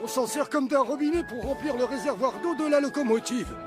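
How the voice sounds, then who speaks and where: A man's voice: very high-pitched, a little fast, music in the background. A man playing a genial, folksy old grandfather and proud train engineer in a French-dubbed cartoon. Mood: proud, explaining. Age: older.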